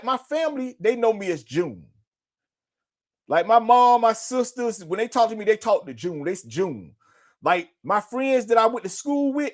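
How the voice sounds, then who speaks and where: High-pitched Southern US man, talking candidly. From North Carolina, United States. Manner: street slang, swearing, frequent check-ins with the listener. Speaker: host of a personal storytelling show. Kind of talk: unscripted conversational monologue about his life.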